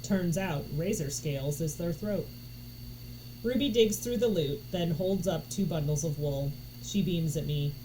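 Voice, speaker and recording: A woman reading a story aloud in an expressive narration style. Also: low-pitched, a little slow, rough recording. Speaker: audiobook reader. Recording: noticeable background noise.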